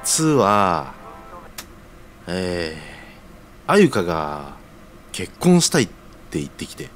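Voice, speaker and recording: A man's voice: deep voice, big pitch swings, takes it slowly, faint music behind, heavily acted. An actor playing an earnest, deferential young man, talking in casual junior-style polite speech in an audio drama. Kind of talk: scripted audio drama acting.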